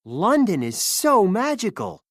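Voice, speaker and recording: A narrator acting out a spirited young girl from a children's storybook. She sounds earnest and expressive, with lively rises and falls in pitch. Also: measured pace, no room sound, studio quality. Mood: wistful.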